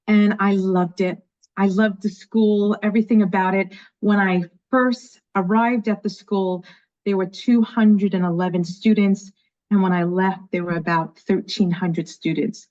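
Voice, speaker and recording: A woman's voice: medium pitch, steady tone, normal speed, darkish tone. A woman in her fifties from New York with a light New York accent, chatting enthusiastically as an interview guest. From New York, USA. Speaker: guest, education leader. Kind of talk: casual interview. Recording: dull lo-fi call audio.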